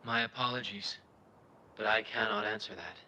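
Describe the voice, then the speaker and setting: A man's voice: lowish voice, steady tone, bright, forceful tone, a little hiss or hum, low-quality recording. A man playing a composed, enigmatic guardian of a dream realm, speaking formally in a video game. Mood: calm, formal. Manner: measured, formal.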